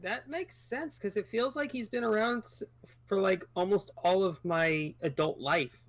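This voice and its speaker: A thirty-year-old podcast co-host in casual sports chat, chatty and trailing off into low asides. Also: moderate pace, no echo.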